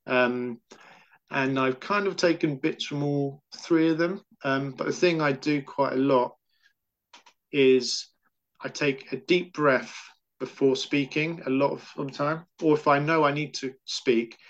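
A man's voice: medium pitch, a little slow, highs cut off. A man with a stammer, answering questions in a casual interview, repeating syllables and words as he talks.

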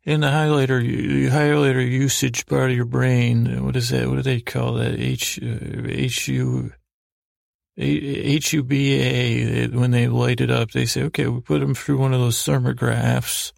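Gravelly, rambling man hosting a bedtime podcast. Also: mid-range voice, little pitch movement, a little mellow, no room sound, clean studio sound. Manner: meandering, halting, repeating half-words; whimsical, mock-serious. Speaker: podcast host. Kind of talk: rambling bedtime monologue to help listeners sleep.

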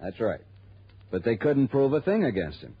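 Businesslike male insurance man, husky, emphatic, suspicious, dull vintage radio recording. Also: medium pitch, huge pitch swings, moderate pace, dry recording, full character voice. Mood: suspicious, explaining. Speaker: supporting actor. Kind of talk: 1960s radio drama acting.

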